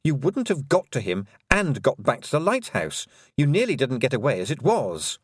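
A man voicing a young girl's inner voice of reason, insistent and urgent as it argues its case, rising and falling with lively pitch. The reading is dry and close, a storybook voice barely set apart from the teller's own. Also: normal speed, breathy edge, no echo. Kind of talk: audiobook character voice.

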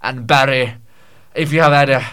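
A man's voice: mid-range voice, fairly even intonation, normal speed, fairly bright tone, smooth, lightly acted. A man putting on a pompous, rambling Scottish storyteller. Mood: mock-solemn. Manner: mock-solemn, archaic phrasing, stumbling and repeating. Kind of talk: improvised comic monologue in character.

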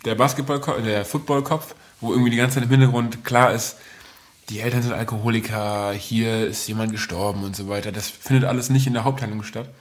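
A man's voice: on the low side, steady tone, a little fast. A man co-presenting a podcast in casual, humorous conversation.

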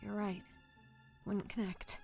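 Sassy young woman friend acted by a woman, mid-pitched, casual, naturalistic drama delivery, light music underneath. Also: little pitch movement, slow pace, creaky, a little mellow, breathy edge, full character voice. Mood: mildly puzzled. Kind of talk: naturalistic audio drama acting.

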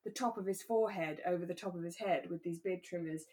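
A woman co-hosting a podcast, chatting in a relaxed, conversational way about a radio drama. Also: lowish voice, fast. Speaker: podcast co-host.

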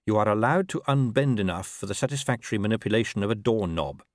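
A man playing an urbane, patient, quietly sardonic ruler with a measured, formal, deadpan delivery in a storybook. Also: lowish voice, dry recording, clean studio sound, lightly acted. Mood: dry, patient.

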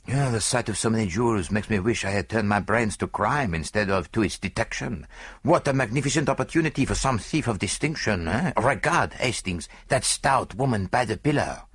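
A man's voice: lowish voice, lively intonation, brisk, fairly bright tone, slightly rough, dry recording. A man voicing an old Belgian detective with a French-speaking accent and a courteous, quaintly formal manner. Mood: placid, musing. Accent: French-speaking foreign. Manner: formal, quaint phrasing with small murmured asides.

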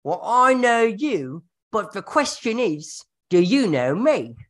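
A man's voice: high-pitched, big pitch swings, takes it slowly, fairly bright tone, pitched above the performer's own voice, heavily acted. A man voicing a cheeky, challenging young ruffian in a spooky radio drama. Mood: cocky, teasing. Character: cocky mysterious young ruffian in a cloak.

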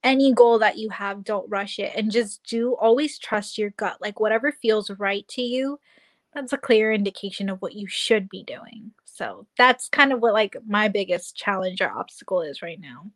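Twenties woman chatting, animated, keeps quoting her own thoughts, average pitch. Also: normal speed. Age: 20s. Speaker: guest. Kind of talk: casual chat.

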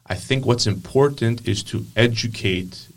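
A man's voice: mid-range voice, measured pace, far from the mic. A man speaking as a student guest on a podcast, earnest and firm in his opinions. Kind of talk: conversational interview.

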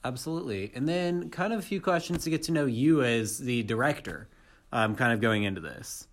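A man's voice: medium pitch, lively intonation, brisk. A man in the role of interviewer speaks in a conversational style. He puts his questions casually, as the host of the talk.

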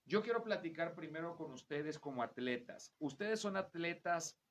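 A man's voice: fairly high pitch, animated, moderate pace. A man with a Mexican accent hosting a conversational interview in a chatty, explanatory and wordy way. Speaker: interview show host. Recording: clear background noise.

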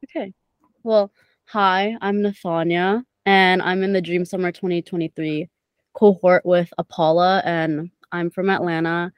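A woman's voice: lowish voice, fairly even intonation, a little slow, clean tone, no echo. A young woman from Indonesia conducting a casual interview, asking prepared questions. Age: young adult. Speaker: interviewer, student.

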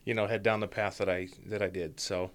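A man's voice: lowish voice, flat delivery, a little fast. A man in his thirties from Utah, a podcast guest, talking in a plainspoken, easygoing and modest way. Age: 30s. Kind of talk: casual interview chat.

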